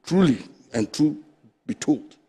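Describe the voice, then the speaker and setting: A middle-aged Ghanaian man, president of a fisheries association, arguing his case forcefully and emphatically as a guest on a TV studio discussion. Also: fairly high pitch, animated, measured pace, breathy edge.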